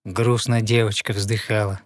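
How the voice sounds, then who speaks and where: A man narrating a fairy tale in verse with expressive, rhythmic recitation. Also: lowish voice, moderate pace, no echo, clean studio sound. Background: music behind the voice.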